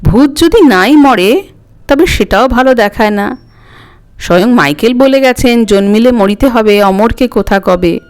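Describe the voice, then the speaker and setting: A woman's voice: a little high, lively intonation, measured pace, smooth. A woman voicing a very old village Brahmin man in a storybook, timid but pertly argumentative. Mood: pert arguing. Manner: hesitant, then pertly argumentative.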